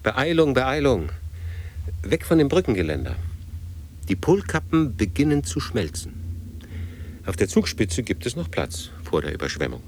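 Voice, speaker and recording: A man's voice: mid-range voice, wildly swooping pitch, moderate pace, long pauses, a little hiss or hum. An actor in a German radio drama playing an anxious man who presses in clipped, urgent commands. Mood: urgent, pressing. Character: anxious, urgent man hurrying his beloved to flee.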